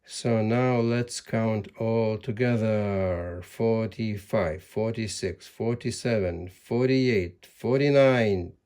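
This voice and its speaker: A man giving casual instructional talk as a hobby video host, in a halting way with repeated words. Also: on the low side, steady tone, darkish tone. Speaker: amateur radio video host.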